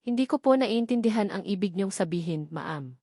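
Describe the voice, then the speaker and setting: A woman's voice: medium pitch, animated, normal speed, warm tone, no room sound, clean studio sound. A woman narrator voicing a young single mother who is frightened yet proud and defiant, trembling but firm, in a dramatic story. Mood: fearful denial.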